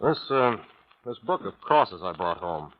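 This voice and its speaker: A low-voiced, uneasy husband in a vintage radio play. He speaks haltingly, suspicious but apologetic, on a dull old recording. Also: big pitch swings, slight background noise. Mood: hesitant, uneasy. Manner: hesitant, halting. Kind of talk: 1940s American radio drama acting.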